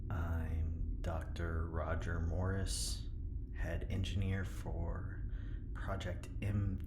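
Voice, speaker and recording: Man playing a male head engineer, audio drama, very low voice, dry, sarcastic, deadpan, roomy echo, noisy background. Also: slow pace, loud music behind the voice.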